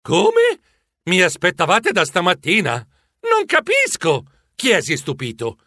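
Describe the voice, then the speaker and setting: An excitable, anxious mouse narrating his own adventure in a children's storybook. His bright voice leaps up and down dramatically, in a dry studio-close recording. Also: high voice, a little slow, no room sound, exaggerated voice. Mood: bewildered. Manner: dramatic, expressive, breathless exclamations. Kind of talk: children's audiobook first-person narration.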